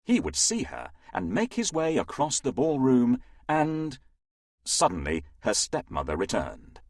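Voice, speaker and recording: A man narrating a children's fairytale in an expressive, scripted storytelling style. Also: medium pitch, measured pace, gravelly, no room sound, clean studio sound.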